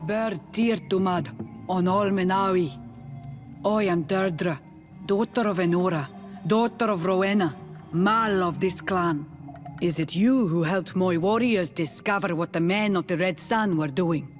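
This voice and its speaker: A woman's voice: lowish voice, measured pace, close to an everyday voice. A stern female chief of a fantasy warrior clan , played by an actress. She speaks in solemn, guarded declarations full of pride. Faint music plays underneath. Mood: formal greeting. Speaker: game character actor. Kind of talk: scripted fantasy game dialogue.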